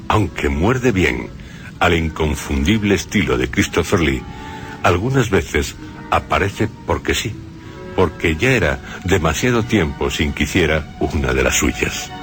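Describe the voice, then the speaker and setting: Man, extremely low voice, scripted radio film commentary, clear background music. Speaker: radio commentator on horror films.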